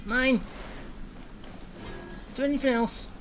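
A woman's voice: high voice, slow, music in the background. A woman giving casual commentary as the host of a game playthrough.

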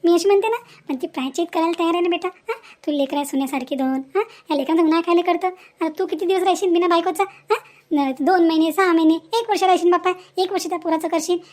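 A woman's voice: very high-pitched, quick pace, a little mellow. A woman voicing a pleading, scolding motherly village neighbour in a cartoon drama, insistent and repetitive, with a rural Maharashtrian Vidarbha accent. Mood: reasoning, insistent. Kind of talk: Marathi cartoon moral drama dialogue.

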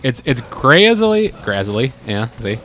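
A man's voice: mid-range voice, sing-song, fairly bright tone. Chatty man over game music. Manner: chatty, laughs often, quick asides. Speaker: let's play host. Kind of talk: casual gameplay chat.

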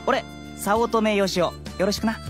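A woman's voice: medium pitch, fairly even intonation, normal speed, music in the background, natural voice. A woman voicing a chummy, girl-crazy teenage boy, brash and eager, in an audio drama. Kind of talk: scripted Japanese anime-style audio drama acting.

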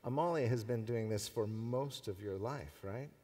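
A man's voice: medium pitch, normal speed, dark tone, long reverb tail. A middle-aged man hosting an interview on a convention stage, affirming and encouraging his guest. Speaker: interviewer host. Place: large convention hall stage.

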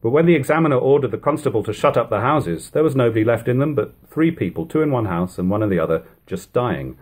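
A man reads an old literary narrative aloud in a measured, formal, dramatic way at home. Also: mid-range voice, animated, a little mellow, slightly rough. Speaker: reader. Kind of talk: audiobook-style reading of an old literary narrative. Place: living room at home. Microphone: webcam or device camera at about arm's length.

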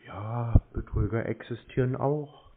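A man bantering casually on a phone call in a mocking, deadpan way. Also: medium pitch, a little slow. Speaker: host of a scam-baiting show, prank caller. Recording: narrow tinny phone-line sound.

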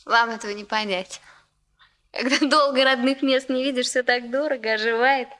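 Actress as a wistful, high-voiced young woman graduate. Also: steady tone, moderate pace, a bit bright, natural voice. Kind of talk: 1960s Soviet radio drama acting.

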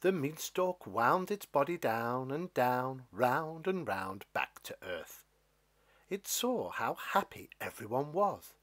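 Very animated, unhurried male storybook reader. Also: mid-range voice. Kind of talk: expressive children's story narration.

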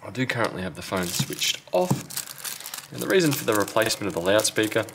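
A man's voice: on the low side, very animated, fairly quick, noisy background. A man talking casually and matter-of-factly through a hands-on repair tutorial, step by step, as a technician working at a bench. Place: indoor repair workbench.

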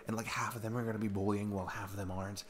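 A man's voice: lowish voice, little pitch movement, very fast, a little hiss or hum, natural voice. A man playing a sweet, nervous single dad in an intimate ASMR roleplay, rambling and hesitant in a casual, self-deprecating way. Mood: playful rambling.

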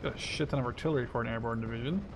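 A man in his twenties giving casual, sweary gameplay commentary, thinking out loud as he plays. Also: medium pitch, racing, lo-fi sound, background noise. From Netherlands. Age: 20s. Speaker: video game streamer. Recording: gunfire and explosions from game behind. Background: war game artillery and gunfire.

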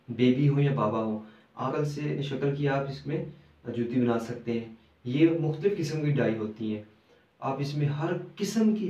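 A young man hosting a business video, explaining casually to camera and filling pauses with "theek hai". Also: medium pitch, dark tone. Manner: repeats "theek hai" as a filler, gestures with his hands. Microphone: lapel mic. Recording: roomy, distant, dull lo-fi sound.

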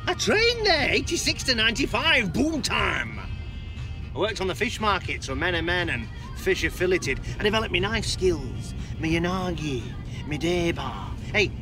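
A pompous, touchy fishmonger holds forth from the back of a small car. His voice sits high for a man and swings widely as he boasts about his trade. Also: big pitch swings, normal speed, over music. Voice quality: pompous, self-important. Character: boastful middle-aged male fishmonger, touchy.

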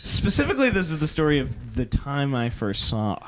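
A young man spins a rambling party story for his friends. He stops often for fillers and laughs through it. His voice sits at an ordinary middle pitch. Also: huge pitch swings, fairly quick, a crowd in the background. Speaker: friend telling stories. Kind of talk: casual party chat.

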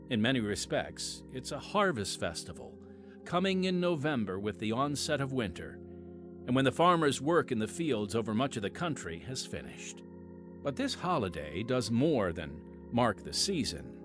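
A male host delivers a scripted podcast introduction. He speaks straight to his listeners with a very animated, mid-pitched voice. Music plays clearly behind him. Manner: addresses listeners directly as friends.

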